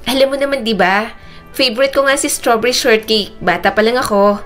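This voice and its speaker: Woman acting an emotional young woman, higher voice. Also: brisk, a bit bright, soft background music, lightly acted. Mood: puzzled. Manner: trembling, stammering when upset. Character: distressed young woman, giggly then tearful and apologetic.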